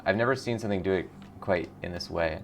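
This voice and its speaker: A man's voice: low-pitched, quick pace, a little hiss or hum. A man giving casual, enthusiastic game commentary as a show host, speaking informally and reading on-screen text aloud. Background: faint music.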